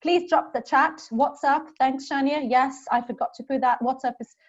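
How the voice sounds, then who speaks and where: A woman's voice: high voice, fairly even intonation, normal speed. A woman in her thirties from Brazil with a Brazilian accent, giving an upbeat webinar talk from her home. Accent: Brazilian Portuguese. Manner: upbeat, engaging the audience. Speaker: guest speaker. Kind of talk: webinar presentation. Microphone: video call. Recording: muffled video call audio.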